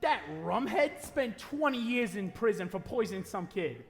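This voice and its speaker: An actor playing a gruff, bullying 1940s bartender with slangy, brusque delivery in a stage radio play. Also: male voice, sits high, expressive, moderate pace, faint music behind. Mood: angry, bullying. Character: gruff, hostile, tough 1940s male bar boss. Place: theatre hall, live performance. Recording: live stage taping in reverberant hall.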